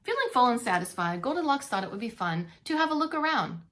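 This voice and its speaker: Female preschool story reader, higher-pitched and bright, expressive delivery for little listeners. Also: fast talker. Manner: animated, with playful asides to young listeners. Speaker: preschool teacher reading a story aloud. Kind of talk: expressive children's read-along narration.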